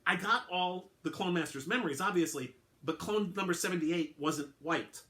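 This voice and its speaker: A man's voice: high voice, normal speed, pushed and bright, voice pushed up. A man voicing a middle-aged male clone henchman, earnest and rattled, who keeps correcting himself as he confesses in a story reading. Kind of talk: dramatic reading of a short story.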